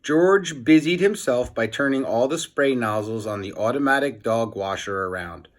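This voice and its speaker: A man's voice: medium pitch, animated, normal speed. A man narrating a children's storybook aloud, as a teacher reading for young listeners.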